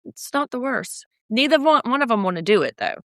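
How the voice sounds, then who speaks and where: A woman with a slightly deep voice, co-hosting a podcast in sardonic, chatty recap talk. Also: fairly quick, no room sound, studio quality. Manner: chatty, sardonic, animated asides. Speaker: podcast co-host. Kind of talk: casual recap chat.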